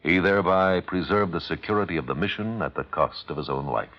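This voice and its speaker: A man's voice: deep voice, brisk. A man reading a solemn, formal scripted public service announcement on an old radio broadcast. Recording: dull old radio recording.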